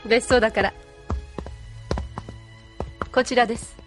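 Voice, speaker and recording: 女性声優がアニメのオーディオドラマで、礼儀正しく忠実な軍の女性部下を演じている。. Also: female voice, fairly high pitch, steady tone, slow pace, music in the background. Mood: polite, composed. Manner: courteous, formal. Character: courteous, dutiful female military subordinate. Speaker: voice actor. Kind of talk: anime audio drama acting.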